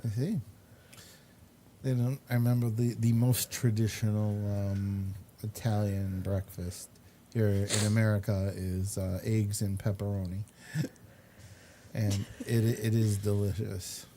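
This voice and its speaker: A man hosting a casual chat interview, speaking in a halting, unhurried way. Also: on the low side, flat delivery. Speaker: show host. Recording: noticeable background noise.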